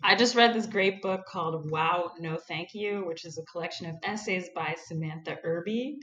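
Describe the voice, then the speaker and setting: A woman's voice: on the low side, normal speed, a bit bright, muffled recording. A woman guest on a casual podcast, talking with dry, wry understatement. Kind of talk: casual chat.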